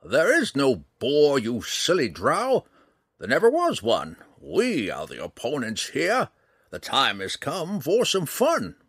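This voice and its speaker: A man voicing a crafty, mischievous old ranger with brisk, theatrical, formal speech in a fantasy audiobook. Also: mid-range voice, wildly swooping pitch, a bit bright, breathy edge, no echo, studio quality, pitched above the performer's own voice, full character voice. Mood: gleeful, taunting.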